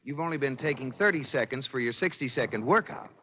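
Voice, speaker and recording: An actor playing a patient, wry, joking husband in an American 1940s radio comedy. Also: male voice, mid-range voice, expressive, fast, a bit bright, close to an everyday voice. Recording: noisy, muffled old radio recording.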